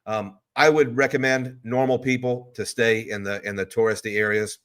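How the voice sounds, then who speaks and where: A man's voice: mid-range voice, fairly even intonation, normal speed, a bit bright. A man hosting a live stream, chatting loosely and answering viewer questions in a rambling, easygoing way. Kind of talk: casual live stream chat. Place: hotel room.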